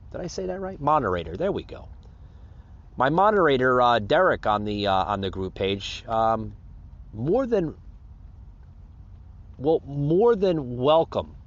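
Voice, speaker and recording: Animated American man, dull lo-fi podcast sound. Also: medium pitch. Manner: rambling and repetitive, addressing listeners as guys. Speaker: podcast host. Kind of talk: casual unscripted podcast monologue, plugging pages and thanking listeners.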